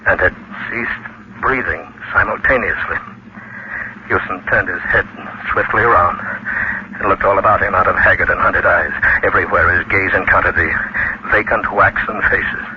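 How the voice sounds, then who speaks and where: Dramatic male narrator on very noisy old radio. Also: lowish voice. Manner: dramatic, suspenseful, deliberate pauses. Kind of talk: scripted 1940s radio horror narration. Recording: muffled, heavily noisy old radio recording.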